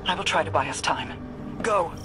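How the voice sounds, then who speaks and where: An actress playing a regal mystic leader in a video game, formal, measured and grave. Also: female voice, sits low, heavy background noise, natural voice. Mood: solemn. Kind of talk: video game cutscene drama.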